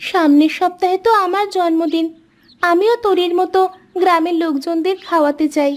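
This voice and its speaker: A woman plays a poor but generous village girl from a cartoon. Her voice is extremely high, earnest and pleading, with a wistful, kindly temper. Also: fairly even intonation, moderate pace. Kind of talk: cartoon character dialogue.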